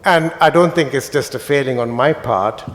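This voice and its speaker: A man's voice: medium pitch, normal speed. A male author speaking on a festival panel, reflective and building to emphatic points. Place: literary festival stage. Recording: reverberant festival hall on PA.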